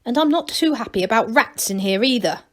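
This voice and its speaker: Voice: mid-range voice, animated, fairly quick, a bit bright, natural voice. Woman putting on a bossy old gnome granny. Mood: scolding. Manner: scolding, commanding, no-nonsense. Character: bossy, self-satisfied old gnome granny nursing the sick.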